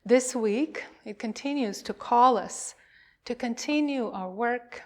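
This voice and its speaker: Slow, higher-voiced woman leading worship. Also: fairly bright tone. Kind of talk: call to worship, poetic reading.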